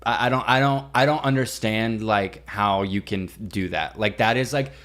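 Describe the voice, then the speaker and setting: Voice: mid-range voice, moderate pace, fairly bright tone. A young American podcaster with a mid-range voice chats in a casual, comedic way. The delivery is playful and sweary, with "like" dropped into nearly every sentence. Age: young adult. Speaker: podcast co-host.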